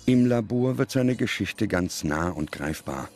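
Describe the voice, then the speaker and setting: A man reading scripted documentary narration in a measured, dramatic manner. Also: low-pitched, expressive, a little mellow, soft background music.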